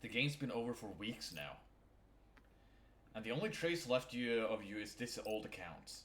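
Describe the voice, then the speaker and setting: A man's voice: on the low side, lively intonation, normal speed, fairly bright tone, reverberant. A man voicing an earnest, awkward teenage boy with hesitant delivery in a video game fandub. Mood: sad, longing.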